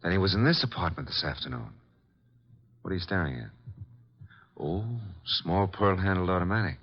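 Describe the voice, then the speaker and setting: An actor playing a streetwise, watchful detective in an old-time radio drama, talking casually in clipped lines on a dull recording. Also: male voice, sits low, animated, moderate pace, slightly rough, full character voice. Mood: cautious. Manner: casual, clipped, thinking aloud. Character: streetwise, watchful male private detective's legman.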